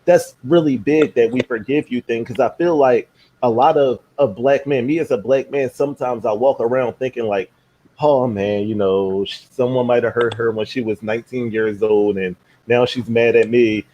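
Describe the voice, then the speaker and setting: Man, 30s, live-stream host; relaxed, playful, easy laughs. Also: medium pitch, normal speed, muffled recording, slight background noise. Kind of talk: casual live-stream talk.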